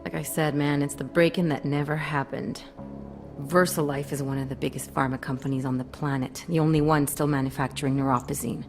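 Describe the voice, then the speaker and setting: A deep-voiced female hacker from a video game, wary and streetwise. She talks bluntly and casually in slang, with faint music underneath. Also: fairly even intonation, brisk. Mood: blunt, puzzled. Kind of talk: video game cutscene acting.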